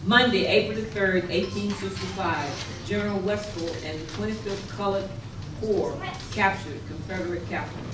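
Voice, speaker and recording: A woman's voice: mid-range voice, normal speed. Echoey female announcer, formal delivery. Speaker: announcer of dates and scenes. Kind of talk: scripted announcements in readers theater. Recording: echoey, noisy room, distant mic.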